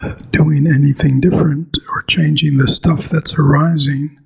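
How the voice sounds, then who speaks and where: A man teaching meditation in a measured, halting way with long pauses, as in a guided practice talk. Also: flat delivery. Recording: clear background noise, ambient rumble.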